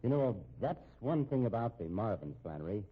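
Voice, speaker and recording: An actor playing an anxious, respectable American husband in a 1950s radio drama, speaking in a measured, pointed way. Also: male voice, lowish voice, big pitch swings, slightly rough. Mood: nervously guarded. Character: respectable, anxious, shrewd husband held hostage at home. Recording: muffled, tinny old radio recording.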